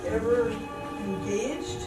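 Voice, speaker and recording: A woman acting as a polite, wistful dancer in an amateur radio drama, gentle and hesitant. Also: on the high side, lively intonation, slow. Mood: curious. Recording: very echoey hall from far off, music.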